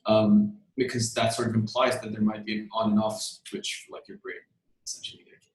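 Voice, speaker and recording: A man's voice: on the low side, flat delivery. A young man talking casually in a podcast discussion, rambling and thinking aloud. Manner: rambling, quick bursts. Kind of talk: casual discussion on video call. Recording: echoey, distant mic.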